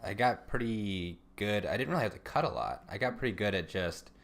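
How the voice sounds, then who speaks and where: Laid-back American man, slightly low voice. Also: lively intonation, fairly quick, a bit bright. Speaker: podcast co-host. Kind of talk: casual chat.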